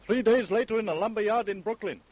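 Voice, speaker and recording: Casting: male actor as solemn American radio narrator, somewhat high voice, urgent crime-report delivery. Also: fast, highs cut off, very noisy, lightly acted. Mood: dramatic. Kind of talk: old-time radio crime drama narration.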